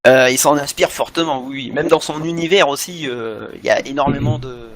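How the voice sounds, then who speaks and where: A man's voice: medium pitch, fairly quick, a crowd in the background. A man in a casual podcast discussion, often repeating himself as he searches for words. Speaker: podcast co-host.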